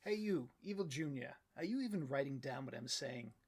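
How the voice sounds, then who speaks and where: A man's voice: mid-range voice, expressive, a little fast, gravelly, husky, no room sound. A man playing a bloviating, petty supervillain boss in a comedic audio roleplay, with a pompous, melodramatic delivery and a taste for rants. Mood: irritated.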